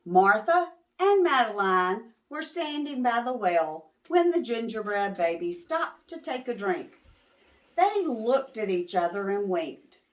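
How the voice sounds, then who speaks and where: Female storytime reader for preschoolers: higher-pitched, unhurried, expressive, engages listeners with questions. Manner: expressive, pausing to ask young listeners questions. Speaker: children's read-aloud host. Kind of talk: animated picture-book storytelling for young children.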